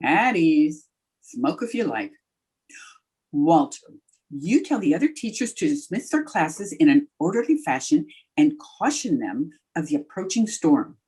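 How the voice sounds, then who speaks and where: A woman's voice: low-pitched, sing-song, a little slow, a little mellow, slightly rough, exaggerated voice, poor audio. A woman playing a wry, self-important schoolteacher with a dry, wisecracking delivery in an old-time radio comedy. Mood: brisk, commanding. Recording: remote video-call recording.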